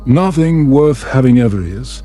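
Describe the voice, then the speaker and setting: A man voicing a self-assured, teasing prince in a bedtime fantasy story, smooth and courtly in manner. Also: mid-range voice, expressive, moderate pace, mellow, soft background music, lightly acted. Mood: amused.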